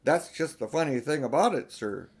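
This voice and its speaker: Man reading as an indignant, high-pitched older brother. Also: lively intonation, moderate pace, slightly rough. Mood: earnest, worried. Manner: emphatic, scolding. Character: indignant older brother, a boy, scolding and protective. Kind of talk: storybook character reading.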